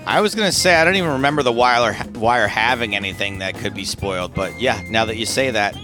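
A man's voice: medium pitch, fairly quick, over music. A man co-hosting a podcast, chatting casually in an informal, emphatic way. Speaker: podcast co-host. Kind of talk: casual chat.

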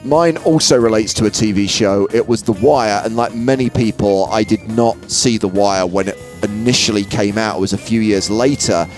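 A man's voice: on the low side, moderate pace, hardly any pauses, faint music behind. Male podcast host in easy conversation.

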